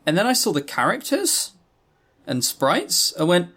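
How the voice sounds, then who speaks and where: A man's voice: sits high, wildly swooping pitch, a little slow, bright, forceful tone, slightly rough. Blunt man chatting on a review podcast. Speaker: podcast co-host. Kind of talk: casual review chat about visual novels.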